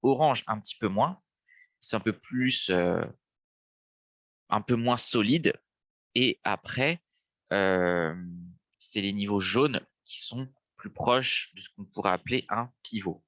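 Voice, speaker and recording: Low-voiced man with a cold. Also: slow pace, pauses often, fairly bright tone, no room sound. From France. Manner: casual, thinking aloud with frequent fillers. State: stuffy nose from a cold. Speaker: trading webinar host. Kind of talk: live screen-share trading lesson.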